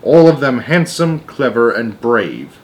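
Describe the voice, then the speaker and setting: A man's voice: medium pitch, very animated, unhurried, a little mellow, slight background noise. Man reading a children's story aloud. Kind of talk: expressive children's book read-aloud.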